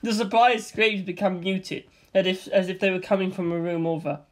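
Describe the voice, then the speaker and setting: A man's voice: high-pitched, fairly even intonation, moderate pace. A man reading out a comedy screenplay and its stage directions in a dry, matter-of-fact way. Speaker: script reader. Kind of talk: deadpan comedic script reading.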